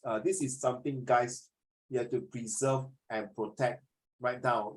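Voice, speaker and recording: A man with a Singaporean English accent teaching a social studies class, animated and rambling, slipping into Mandarin now and then. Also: medium pitch, rough recording.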